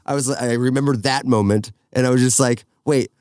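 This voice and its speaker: A man's voice: medium pitch, fairly even intonation, brisk, a little mellow, dry recording, clean studio sound. A man in his thirties chatting casually as a podcast host, bantering with the others. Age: 30s.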